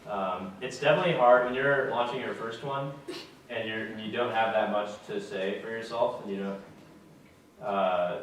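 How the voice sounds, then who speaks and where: Young male speaker, relaxed, filler-heavy delivery; far-off echoey room, faint hiss. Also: fairly low pitch. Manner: relaxed, plain-spoken, many fillers. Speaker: guest speaker. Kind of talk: casual talk to a student audience. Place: lecture room with audience. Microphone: far camera.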